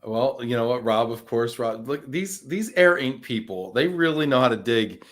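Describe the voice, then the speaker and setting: A man hosting a live interview show at an everyday pitch. he teases his guest in a playful way and reads viewer comments aloud. he often restarts his sentences mid-thought. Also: lively intonation, normal speed. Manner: playful, teasing; frequent restarts and fillers. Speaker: show host.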